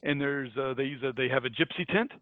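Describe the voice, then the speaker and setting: A man's voice: medium pitch, quick pace, no room sound, phone-quality sound. An American man co-hosting a casual podcast about a book, chatty and laughing often, with frequent restarts. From United States. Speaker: podcast co-host. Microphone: headphones and mic.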